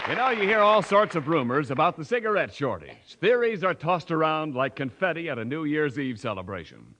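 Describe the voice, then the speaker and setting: A man's voice: on the high side, sing-song, brisk, a crowd in the background, noisy background. A man announcing in a polished, emphatic 1940s radio advertising style on an old broadcast recording.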